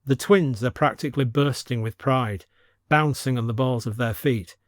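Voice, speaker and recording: A man's voice: mid-range voice, normal speed, no room sound, clean studio sound. A man reading scripted narration for an audio story as the storyteller.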